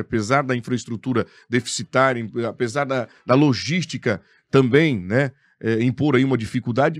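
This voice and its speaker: A man's voice: mid-range voice, no echo. A Brazilian man hosting a live radio interview. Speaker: radio host. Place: radio studio.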